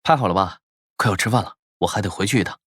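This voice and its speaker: A man's voice: on the low side, fast, a bit bright, husky, no echo, clean studio sound. A man playing a cool, shamelessly teasing college student in an audio drama. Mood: dismissive. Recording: faint music behind.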